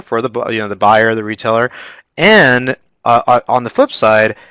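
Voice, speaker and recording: A man's voice: on the low side, sharp tone, dry recording. A man moderating a panel, asking long rambling questions full of fillers. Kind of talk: panel discussion.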